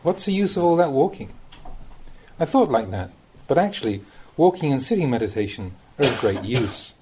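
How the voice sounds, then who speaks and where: A man reading a Buddhist teaching aloud as an audiobook, as a monk would, with measured and expressive phrasing. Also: mid-range voice, husky, low-quality recording. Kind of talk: read-aloud Dhamma talk, audiobook narration.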